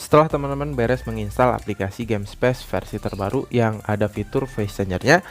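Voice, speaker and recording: A man's voice: fairly low pitch, a little fast. A man hosting a phone app tutorial, explaining step by step in repetitive phrasing. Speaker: tutorial channel host. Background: background music.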